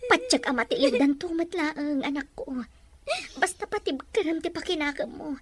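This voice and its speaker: An actress playing a middle-aged Ilocano-accented mother, protective and worried, gentle but insistent, in a melodramatic radio drama. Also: sits high, animated, moderate pace, a little hiss or hum. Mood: consoling, sorrowful.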